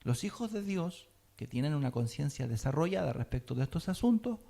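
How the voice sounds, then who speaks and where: A man's voice: fairly high pitch, sing-song, fairly quick, gravelly, mellow. A man teaching a sermon, often checking in with his listeners. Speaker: teacher of a Messianic congregation.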